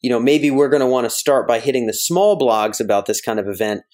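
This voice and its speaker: Male podcast interviewer, American, informal and wordy, thinks out loud, mid-pitched conversational delivery. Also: brisk. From United States. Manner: wordy, informal, thinks aloud while paraphrasing. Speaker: podcast host interviewing a guest.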